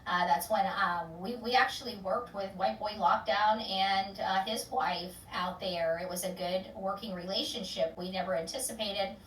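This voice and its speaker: A woman's voice: medium pitch, fairly even intonation, moderate pace, hardly any pauses, echoey room, distant mic, a little hiss or hum, poor audio. A woman hosting an online true-crime channel, rambling casually with many fillers and restarts before an upbeat sign-off. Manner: loud, upbeat sign-off catchphrases. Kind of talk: casual chat, sign-off to viewers.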